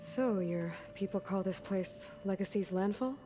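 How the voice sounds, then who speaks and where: A woman's voice: medium pitch, unhurried, close to an everyday voice. A woman playing a determined, pragmatic young warrior-explorer in a video game, speaking plainly and directly. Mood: calm, inquiring. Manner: plainspoken, direct, mutters to herself. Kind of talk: naturalistic video game acting. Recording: loud game music behind.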